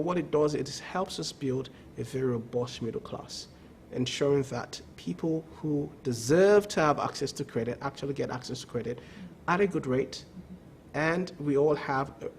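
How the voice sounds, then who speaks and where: A man's voice: a little high, expressive, moderate pace, darkish tone, a little hiss or hum. A man speaking as a credit bureau executive in a TV business interview, emphatic, repeating words and often asking "okay?". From not from the country of the show.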